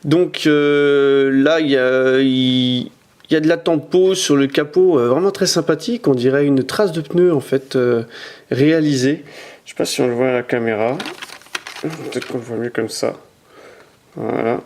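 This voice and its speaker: A man's voice: mid-range voice, moderate pace, darkish tone. Hesitant man showing off toy cars. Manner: hesitant, many "euh" fillers and repeated words; handles and turns the cars toward the camera as he talks. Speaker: toy car collector reviewing his collection. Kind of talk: casual unboxing commentary. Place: at home. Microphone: camera mic.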